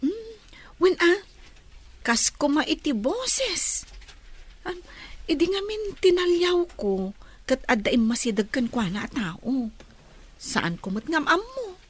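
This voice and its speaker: Observant young friend in comedy radio drama, played by a woman: high, swooping intonation, thoughtful and hesitant. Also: big pitch swings, slow pace, pauses often, heavily acted. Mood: puzzled.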